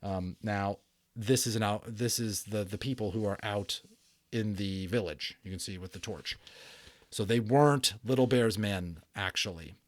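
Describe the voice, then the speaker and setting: A man's voice: lowish voice, normal speed, no echo. A man narrating a storybook in a dramatic reading, with theatrical emphasis and a storyteller's delivery. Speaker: audiobook narrator.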